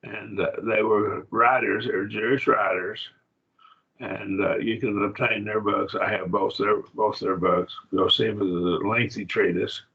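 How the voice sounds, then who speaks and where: A man teaching a college-level Bible study class in a plain, halting lecture with restarts and asides. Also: fairly low pitch, fairly even intonation, creaky, muffled recording.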